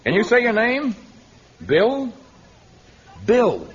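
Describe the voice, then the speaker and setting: A man's voice: high-pitched, huge pitch swings, slow pace, slightly rough, heavily acted. A man playing a confident, good-humoured jungle hero in an old radio drama. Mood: amused, teasing. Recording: muffled vintage radio recording.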